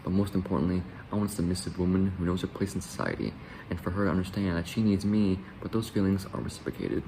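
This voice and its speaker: Brief: a man voicing a vain philosopher, very deep voice, quick read. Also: steady tone, noisy background. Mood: proud. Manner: read-aloud, self-praising. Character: self-important male philosopher.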